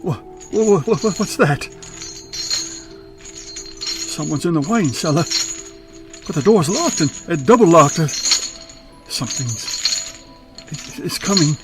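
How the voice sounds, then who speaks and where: An actor in a radio play playing a grumpy, frightened old miser who stammers and blusters haltingly. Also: male voice, fairly high pitch, lively intonation, music in the background. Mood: fearful, defiant.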